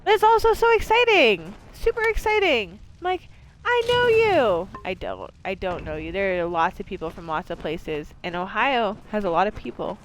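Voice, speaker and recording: Rambly sweary woman streamer from maine, mid pitch, chatting while she plays. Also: big pitch swings, normal speed, a little hiss or hum, low-quality recording. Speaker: game streamer. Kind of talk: casual let's-play chat.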